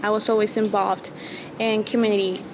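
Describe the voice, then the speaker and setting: A woman's voice: medium pitch, flat delivery, normal speed, noisy background. A young woman from Mexico with a Mexican Spanish accent, a native Spanish speaker, earnestly answering interview questions as a student. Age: young adult.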